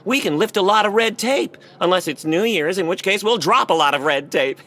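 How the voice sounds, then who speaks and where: A bright, forceful bureaucrat. The delivery is theatrical and salesman-like, rolling out grand promises with an official's self-satisfied humor. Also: expressive, brisk. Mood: persuasive, grandiose. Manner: salesman-like, theatrical, list-heavy pitch. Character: smooth-selling Chinese government bureaucrat, joking and grandiose. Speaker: actor. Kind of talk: audio play acting.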